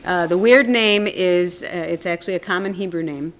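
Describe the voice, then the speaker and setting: A woman's voice: on the low side, normal speed. Candid, hesitant woman podcast guest. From Israel, raised in Chicago. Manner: candid, self-deprecating, a bit hesitant. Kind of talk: casual interview chat. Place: resort clubhouse room with some echo.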